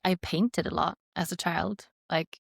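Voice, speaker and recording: A woman's voice: lowish voice, fairly even intonation, a little slow, gravelly, no room sound, clean studio sound. A woman in her thirties with a Swedish accent, a podcast guest reflecting and rambling with fillers. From Sweden. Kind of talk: casual interview chat.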